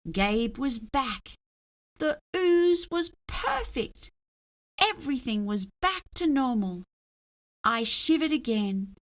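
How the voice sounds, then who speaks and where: A woman reading a children's storybook aloud in an expressive narrating style. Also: high-pitched, slow pace. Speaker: storybook reader.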